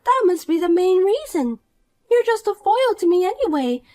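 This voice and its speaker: A woman's voice: extremely high pitch, sing-song, normal speed, warm tone, voice pushed up. A woman voicing a vain, spiteful young woman who was once the most popular girl at school, talking down to an old classmate. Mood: taunting. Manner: condescending, insulting, bossy. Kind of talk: animated story dialogue.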